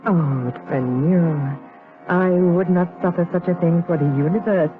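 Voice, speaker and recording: A woman's voice: on the low side, unhurried, close to an everyday voice. A woman playing a fussy, talkative, opinionated older aunt in a gothic audio drama, with exclaiming, mannered period speech. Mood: coy, gracious. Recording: muffled radio-like sound with music behind.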